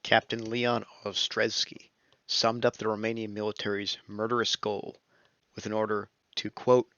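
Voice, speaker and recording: A young man narrating a scripted history lesson in a steady, measured reading voice, as a close voice-over. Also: lowish voice, no echo. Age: young adult. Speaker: student narrator. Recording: dull lo-fi dry recording.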